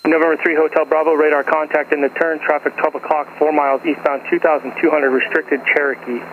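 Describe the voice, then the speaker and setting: Fast, flat American controller on tinny radio. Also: male voice, on the high side. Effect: aviation radio. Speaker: air traffic controller. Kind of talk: clipped radio instructions. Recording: tinny, noisy aviation radio link.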